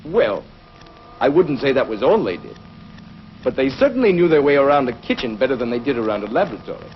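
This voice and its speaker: A man plays a learned doctor of the future in a 1950s TV space serial. He explains things earnestly and deliberately, with widely swinging pitch. The soundtrack is dull and noisy. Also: very animated, natural voice. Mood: thoughtful, earnest. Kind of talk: 1950s television science fiction acting.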